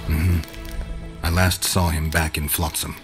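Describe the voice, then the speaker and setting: An actor playing a stoic, dry-witted monster hunter in a video game, speaking tersely in a deadpan manner. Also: male voice, rumbling low, unhurried, soft background music. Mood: terse, businesslike. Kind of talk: video game dialogue acting.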